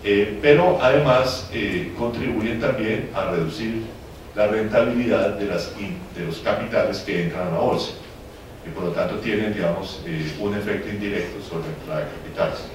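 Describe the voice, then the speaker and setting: A man speaking as a panelist at a policy forum, pausing often with fillers and restarts. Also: on the low side, a little hiss or hum. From Venezuela. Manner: hesitant, many fillers and restarts. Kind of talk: public policy talk. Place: conference hall. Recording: very echoey hall, distant mic.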